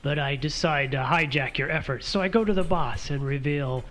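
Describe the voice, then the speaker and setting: A man's voice: fairly high pitch, moderate pace, a bit bright, a little hiss or hum, lightly acted. A man playing a smug, taunting provocateur. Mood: scheming. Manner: taunting, theatrical. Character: smug, needling man who goads his partner. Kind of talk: radio play acting.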